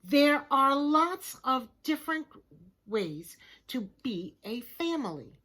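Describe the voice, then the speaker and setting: A woman reading a children's picture book aloud like a teacher, in a deliberate, expressive way, with hushed chatty asides to her listeners. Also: sits high. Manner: slow, expressive, simple deliberate phrasing.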